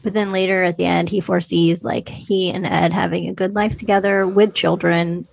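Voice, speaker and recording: A woman's voice: lowish voice, flat delivery, moderate pace, gravelly, darkish tone, low-quality recording. A woman co-hosting a podcast, discussing films casually in an informal, hedging, conversational way. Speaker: podcast co-host.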